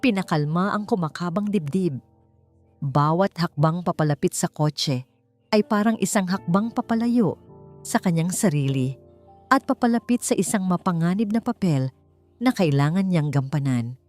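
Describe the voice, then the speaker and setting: A low-pitched woman narrates a dramatic story from a script. Music plays clearly behind her voice as she reads. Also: darkish tone. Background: background music.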